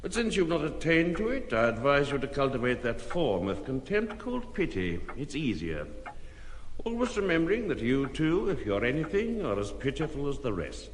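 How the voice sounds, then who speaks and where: An actor playing a bitter, world-weary elderly philosopher father in an audio drama, measured and sardonic, speaking in aphorisms. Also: mid-range voice, sing-song, lightly acted. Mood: weary contempt. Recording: long hall reverb, clear noise, clicks.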